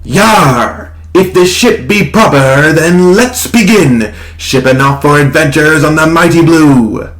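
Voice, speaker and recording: A man's voice: a little high, slow, few pauses. A man playing a bossy animatronic pirate fox captain, speaking in exaggerated stage pirate talk with that accent. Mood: blustering.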